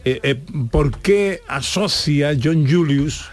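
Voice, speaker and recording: A man's voice: a little high, slow. A man hosting a radio show in casual chat, teasing and hesitant.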